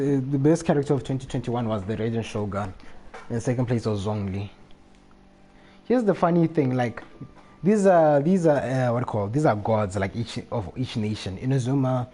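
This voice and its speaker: A man's voice: mid-range voice, dark tone. A man talking casually as a live game streamer, rambling and often repeating his phrases. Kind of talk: casual gaming livestream chat.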